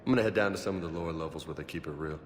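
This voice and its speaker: A man's voice: extremely low pitch, very fast, in a large hall. A man playing a streetwise, disillusioned soldier in a video game, talking in a blunt, slangy way. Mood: blunt, determined.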